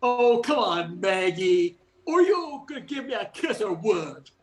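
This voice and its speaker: Woman playing Bozo, a goofy, animated clown. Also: mid-range voice, slow pace, echoey room. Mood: flirty. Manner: jokey, chummy. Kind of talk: amateur radio comedy play reading.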